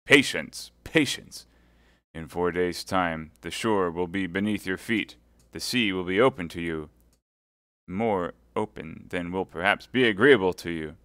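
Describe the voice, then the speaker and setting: Male narrator voicing a cold, mocking baron; low, loud, dry. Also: unhurried, no echo. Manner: sneering, mocking, forceful. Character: stern vengeful English baron, mocking and cold.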